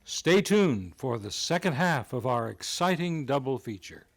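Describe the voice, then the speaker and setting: A man's voice: medium pitch, big pitch swings, unhurried. A man playing an eager, polite announcer hosting an old-time radio drama. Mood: showy, inviting. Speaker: announcer and host.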